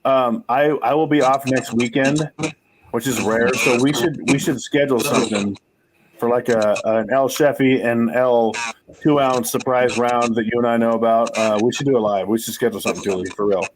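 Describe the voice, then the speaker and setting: A man's voice: medium pitch, steady tone, moderate pace, crowd noise, rough recording. Forties male stream host, loose and self-deprecating, cusses freely, rambles on. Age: 40s. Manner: rambling, swearing, self-mocking. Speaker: livestream host. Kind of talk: casual livestream chat.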